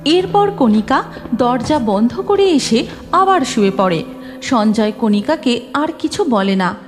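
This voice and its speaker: High-pitched woman narrating a cartoon. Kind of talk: scripted cartoon story narration.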